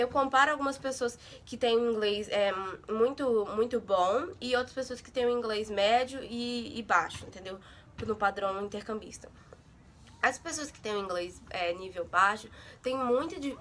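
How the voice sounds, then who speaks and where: A woman's voice: on the high side, moderate pace, a bit bright, slight background noise. A young woman from Brazil in a casual vlog, chatting to her viewers and often adding little check-in words. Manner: chatty, fills with "sabe?" and "gente". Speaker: vlogger. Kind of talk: casual chat to camera.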